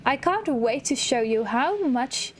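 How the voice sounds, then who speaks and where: Woman voicing a slow, condescending villainess, high-pitched. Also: animated, slight background noise. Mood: smug, dismissive. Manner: theatrical, condescending, coaxing. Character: manipulative, theatrical villainess coaxing a young protégée.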